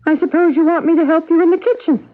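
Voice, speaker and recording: Gentle, fretful mother, tinny recording. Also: very high voice, steady tone, quick pace. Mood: worried. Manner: fond, coaxing, hesitant. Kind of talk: old-time radio drama acting. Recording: narrow muffled vintage radio recording.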